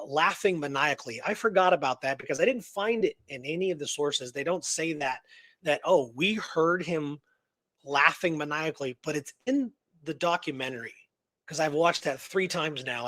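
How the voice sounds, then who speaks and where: A man with a slightly high-pitched voice talks through a story as a radio show co-host. He is informal and digressive, often checking that he is followed. The sound is very dry, with almost no room. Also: a bit bright, no room sound. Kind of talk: casual conversational storytelling and discussion.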